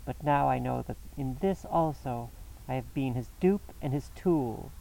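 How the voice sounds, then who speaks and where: A man's voice: mid-range voice, a little slow, gravelly, dark tone, pitched above the performer's own voice, rough recording. A man reading an audiobook voices an anguished young woman held captive, speaking in a pleading, impassioned way. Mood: distraught. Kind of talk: audiobook character voice.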